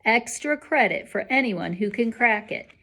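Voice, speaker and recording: A woman's voice: fairly high pitch, expressive, moderate pace, sharp tone, full character voice. A woman voicing an encouraging, earnest male schoolteacher from a storybook, addressing a class with emphatic rhetorical questions. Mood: encouraging, instructive.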